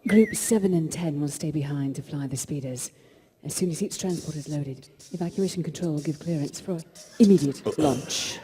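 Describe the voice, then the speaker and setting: A woman's voice: deep voice, normal speed, dark tone, a little hiss or hum, natural voice. A woman playing a commanding, dramatic rebel leader, declaiming formally in a comic live script reading. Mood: crisp command.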